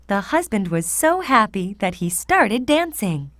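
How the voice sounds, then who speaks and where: A woman narrating a fairy tale for children in an expressive, deliberate read-aloud manner. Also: medium pitch, no room sound, clean studio sound. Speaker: storyteller.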